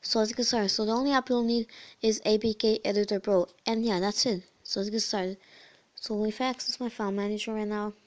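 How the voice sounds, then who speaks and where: A host casually talking through a phone tutorial over a screen recording, her voice a bit croaky, often repeating words and filling with "so yeah". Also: medium pitch, steady tone, brisk. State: slightly croaky voice. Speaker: channel host.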